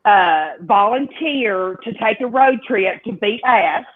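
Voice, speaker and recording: A woman's voice: mid-range voice, animated, slow, dry recording. A woman in her fifties chatting casually as a host on a livestream panel. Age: 50s. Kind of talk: casual chat. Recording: tinny, phone-like line.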